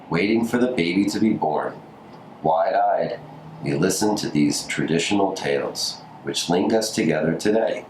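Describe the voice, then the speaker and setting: A man with a notably deep voice reads a storybook aloud. The recording is roomy and echoey, with faint noise behind him. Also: a little mellow. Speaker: community story reader. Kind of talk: scripted storybook reading.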